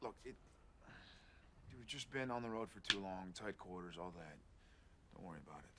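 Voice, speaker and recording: An irritable, bickering brother, swinging pitch. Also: male voice, expressive, normal speed, heavy background noise. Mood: downplaying. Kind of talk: TV drama acting.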